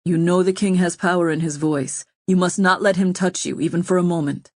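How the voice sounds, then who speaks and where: A troubled nobleman speaking softly and ruefully, as a woman narrator puts him on. The voice sits low for a woman. The sound is dry and close to the mic. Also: fairly even intonation, dry recording. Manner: soft, measured, rueful. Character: troubled loyal nobleman, gentle and helpless. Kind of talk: audiobook reading.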